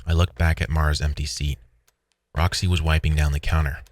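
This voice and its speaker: A man's voice: a bass voice, little pitch movement, a little fast, dry recording. A man narrating a scripted first-person horror story as its narrator. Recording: loud music under the voice. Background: loud background music.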